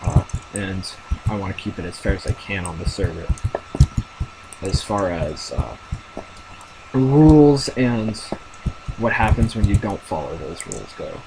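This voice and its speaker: Young male gamer, deep voice, rambling casual commentary, noisy home recording. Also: animated, a little slow, pauses often. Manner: rambling, thinking aloud with many fillers. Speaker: gamer narrating his play.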